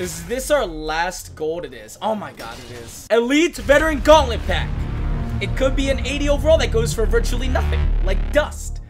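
A young man in his twenties, hoarse from losing his voice, giving excitable casual commentary while opening packs in a video game. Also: very high voice. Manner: excitable, hyped outbursts. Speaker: gaming YouTuber. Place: small room at a desk. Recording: music playing behind the voice.

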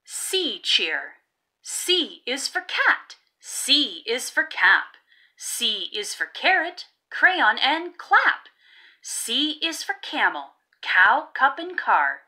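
A woman reading a picture book aloud for young children, expressive and deliberately enunciated, as the host of a read-aloud. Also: high-pitched.

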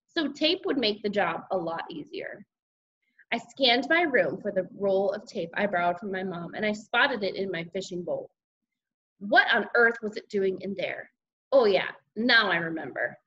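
Woman voicing an animated, excitable young boy. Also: mid-range voice, normal speed, husky, highs cut off. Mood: eager. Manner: animated, childlike exclamations and grumbling. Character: excitable, mischievous young schoolboy telling his own misadventures. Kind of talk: first-person children's audiobook narration.

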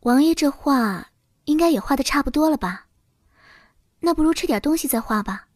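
A woman playing a meek, demure young princess consort in an audio drama, soft-spoken, careful and deferential. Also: high-pitched, expressive, normal speed, no echo, clean studio sound. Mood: meekly attentive.

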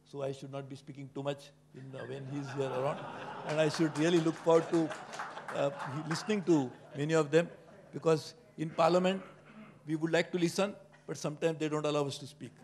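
Middle-aged guy, voice a bit high, earnest speech at a panel in a big hall. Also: steady tone, fairly quick, a crowd in the background. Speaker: panel speaker. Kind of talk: speech at a book launch. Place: conference hall with an audience. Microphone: handheld microphone.

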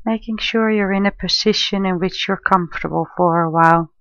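A woman leading a guided meditation in a gentle, soothing, unhurried manner, leaving long pauses between phrases. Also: mid-range voice, fairly even intonation, dry recording, highs cut off. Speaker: meditation guide, life coach and therapist.

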